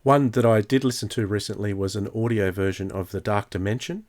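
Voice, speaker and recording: A man's voice: on the low side, steady tone, brisk, darkish tone. A man hosting a podcast, chatting casually and thinking aloud as he goes. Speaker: podcast host.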